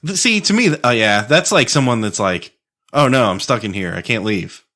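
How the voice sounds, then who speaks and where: Mid-pitched male voice, American accent, sharp and bright, casual riffing, sweary joking podcast banter. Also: expressive, a little fast. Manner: profane, riffing, jokey slang. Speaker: podcast co-host.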